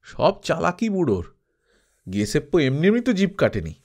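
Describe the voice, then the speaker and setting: A man's voice: a little high, huge pitch swings, normal speed, gravelly, darkish tone, pitched above the performer's own voice, heavily acted. A man voicing a grumbling, cocky, mocking male adventurer in a dramatised audiobook.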